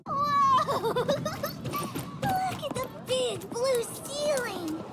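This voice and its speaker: Squeaky, excitable little girl. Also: very slow, heavily acted. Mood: excited. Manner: exclamatory, cheeky. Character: brash, excitable young girl. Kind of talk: video game cutscene acting. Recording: loud music behind the voice.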